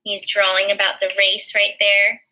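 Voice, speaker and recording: A woman's voice: medium pitch, monotone, normal speed, bright, forceful tone, poor audio. A woman reading a children's picture book aloud expressively, adding simple explanations as a teacher would. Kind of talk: animated children's read-aloud with asides.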